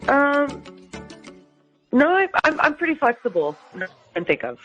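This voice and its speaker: A woman's voice: high voice, big pitch swings, measured pace, a bit bright, faint music behind. A woman answering a phone call, chatting casually in an agreeable, easygoing way.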